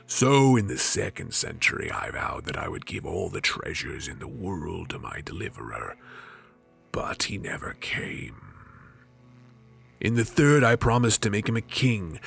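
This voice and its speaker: A man voicing a huge, haughty, wrathful djinn from a storybook, with slow, menacing, grandly dramatic delivery. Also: low voice, creaky, fairly bright tone, husky, voice pushed down, heavily acted. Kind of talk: storybook villain.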